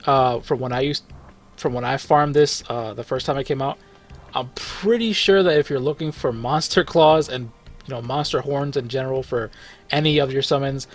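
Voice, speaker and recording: Relaxed male gaming host, mid-range voice, chatty and meandering, faint background music. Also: medium pitch, normal speed. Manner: rambling, relaxed, frequent fillers and self-corrections, chuckles. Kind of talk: casual gameplay commentary.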